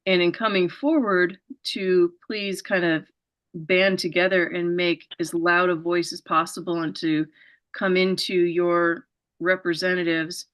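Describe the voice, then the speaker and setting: A woman's voice: fairly low pitch, fairly bright tone. Earnest woman host, rambling introductions. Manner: earnest, rambling setup with many fillers. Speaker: show host. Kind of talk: interview introduction and questions.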